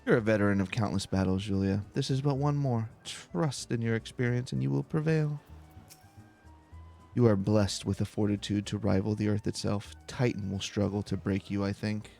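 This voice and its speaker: Male gaming host, lowish and creaky, unhurried, narrating story text for a cozy playthrough. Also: faint music behind. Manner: relaxed, unhurried. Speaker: let's play host. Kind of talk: calm game playthrough, reading dialogue aloud.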